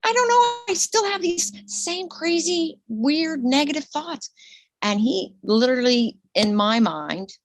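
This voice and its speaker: Woman, 60s, retired nurse guest; lively swooping delivery, dry close sound. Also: unhurried, no room sound, rough recording. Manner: animated, laughing, repeats herself, voice leaps high when excited. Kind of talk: casual interview conversation.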